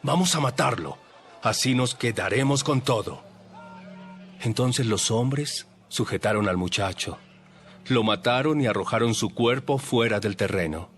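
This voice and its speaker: An actor playing a calm, wise teacher who tells parables in a measured way. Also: male voice, mid-range voice, slight background noise. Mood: teaching. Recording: voice over light background music.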